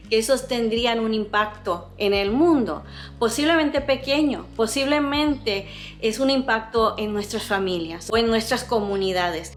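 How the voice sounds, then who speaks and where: A woman in her fifties with an American accent who grew up in Latin America, sharing earnest, thoughtful reflections on her personal vlog. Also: fairly high pitch. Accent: American, with mixed Latin American Spanish. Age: 50s. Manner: earnest, thoughtful, speaks with feeling. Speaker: vlogger, host of a frugal living channel.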